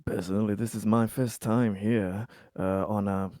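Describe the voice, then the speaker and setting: A man playing a tabletop role-playing game, improvising in-character dialogue in a friendly, halting way. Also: fairly low pitch, fairly even intonation, darkish tone, no echo, clean studio sound.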